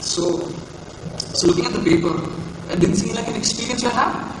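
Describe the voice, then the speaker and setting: Guy acting a flustered earnest teacher type, highish voice, stage play in a big echoey hall. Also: natural voice. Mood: earnest, critical. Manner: hesitant, halting, explanatory. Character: earnest, flustered young male creative writing teaching assistant. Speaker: stage actor in a college play. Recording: roomy hall from far off, loud hiss.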